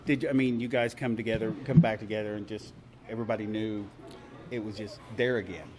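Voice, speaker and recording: A man's voice: lowish voice, steady tone, fast talker, a little mellow. A man interviewing a musician in an informal, chatty way, with a fan's enthusiasm. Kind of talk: casual interview chat. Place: backstage at a music venue. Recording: ambient background noise.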